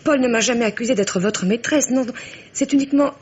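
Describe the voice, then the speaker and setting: An actress playing a frightened, distressed wife in an old French radio mystery, speaking haltingly and repeating her words. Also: on the high side, darkish tone, close to an everyday voice. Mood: pleading. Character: frightened, distressed wife fleeing a violent husband. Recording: dull, noisy vintage radio recording.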